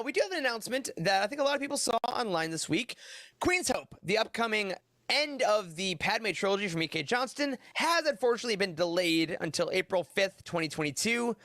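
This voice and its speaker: A man with a notably high voice hosts a casual news segment. He is chatty, upbeat and quick to joke. The sound is dry, with little room around him. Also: sharp tone, dry recording. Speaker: podcast host. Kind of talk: casual news roundup chat.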